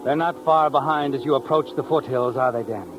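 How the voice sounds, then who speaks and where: A man acting as an American narrator of a 1950s radio thriller, mocking and ominous, with dramatic pauses. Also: medium pitch, lightly acted, low-quality recording. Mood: taunting, tense. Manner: taunting second-person address with dramatic pauses. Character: mocking, ominous male storyteller of a crime thriller. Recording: old radio with dramatic music behind.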